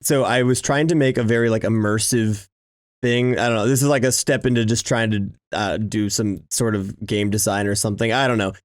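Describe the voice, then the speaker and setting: A man's voice: on the low side, steady tone, brisk, no echo, clean studio sound. A man giving casual, informal game commentary with an enthusiastic manner. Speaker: video game vlogger, host. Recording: music behind the voice. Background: game background music.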